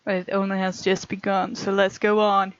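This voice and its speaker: A woman's voice: mid-range voice, little pitch movement, moderate pace, no room sound. A woman hosting a game playthrough, reading visual novel narration aloud and adding her own commentary. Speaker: game let's-play host. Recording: dull sound, music behind. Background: game music.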